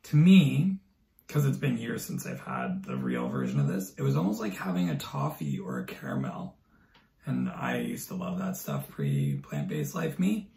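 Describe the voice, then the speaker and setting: Man, 30s, casual vlog co-host, creaky voice with lively swings in pitch, roomy sound. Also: moderate pace. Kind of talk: casual chat. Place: indoor room at home. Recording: echoey room pickup.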